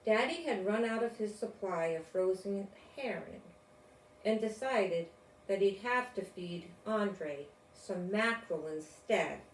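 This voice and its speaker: Slow-paced older woman reading a storybook. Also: mid-range voice, lively intonation. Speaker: storyteller reading a children's book aloud. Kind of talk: read-aloud storybook narration with informal asides. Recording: roomy, distant mic, faint noise.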